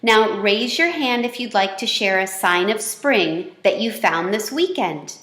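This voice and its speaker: Woman as a patient, unhurried schoolteacher. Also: mid-range voice, expressive, fairly bright tone, echoey room. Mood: instructive. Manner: measured, instructive. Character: patient, encouraging female schoolteacher.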